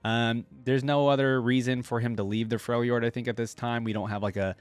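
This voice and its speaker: Casting: male reaction host, mid-pitched, musing and conversational, very dry sound with faint music underneath. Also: flat delivery, a little fast, clean tone, no echo. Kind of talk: casual off-the-cuff commentary.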